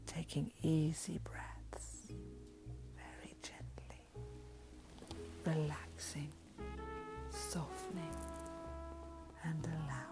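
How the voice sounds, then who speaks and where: Slow, breathy woman reading a guided meditation. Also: low-pitched, fairly even intonation. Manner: breathy, often dropping into a whisper. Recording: voice over soft background music. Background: music.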